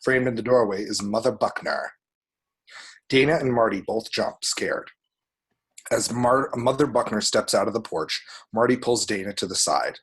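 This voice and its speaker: A man's voice: lowish voice, lively intonation, normal speed, fairly bright tone, slightly rough. A man reading out a screenplay's action descriptions at a table read, with vivid, dramatic storytelling. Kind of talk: scripted screenplay narration with spoken sound effects.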